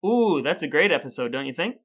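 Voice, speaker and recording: A man's voice: on the high side, very animated, quick pace, fairly bright tone. A man co-hosting a casual chat podcast with his brother, playful and dry-humored. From American Midwest. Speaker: podcast co-host.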